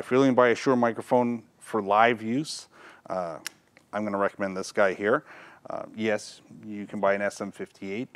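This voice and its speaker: A man's voice: fairly low pitch, clear, resonant tone. A middle-aged man giving a casual, plainspoken product demonstration. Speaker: product reviewer and retailer. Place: cluttered audio gear shop, indoors. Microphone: lavalier on shirt, condenser beside camera.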